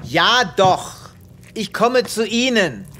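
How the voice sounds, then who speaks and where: A man's voice: sits high, very animated, takes it slowly, very noisy, full character voice. An actor playing a weary, exasperated male space veterinarian in an audio drama, dramatic and given to muttering. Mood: irritated. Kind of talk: German audio drama acting.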